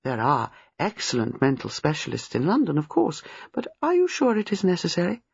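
A woman reading a storybook, playing a gentle, shrewd old lady with a soothing, measured manner. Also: low-pitched, wildly swooping pitch, creaky, breathy edge, no echo, highs cut off, full character voice. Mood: gentle concern. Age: older.

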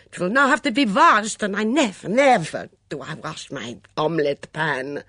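A woman's voice: fairly low pitch, moderate pace, fairly bright tone, no room sound, clean studio sound, pitched above the performer's own voice. A woman voicing an indignant, dramatic foreign cook who speaks broken English with emphatic repetition in an audiobook story. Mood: outraged, possessive.

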